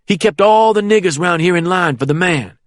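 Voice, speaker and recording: A man voicing a threatening young man who speaks in slow, drawling threats. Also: sits high, no echo, clean studio sound, pitched above the performer's own voice, natural voice. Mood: menacing. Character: menacing young Southern man, cold and threatening.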